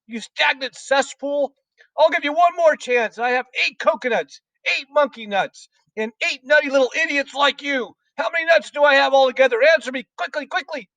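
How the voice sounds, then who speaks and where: A man voicing a furious, tyrannical older woman headmistress in a storybook, shrill and bullying as she shouts. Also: sing-song, moderate pace, hardly any pauses, no room sound, distant mic, heavily acted, poor audio. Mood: furious, insulting.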